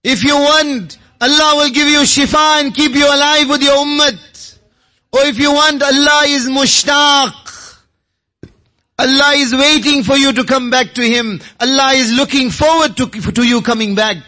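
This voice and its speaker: A man delivers an Islamic sermon in an extremely high, forceful voice. He speaks with open emotion and repeats phrases for emphasis, in the impassioned style of a preacher. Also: fairly even intonation, a little slow.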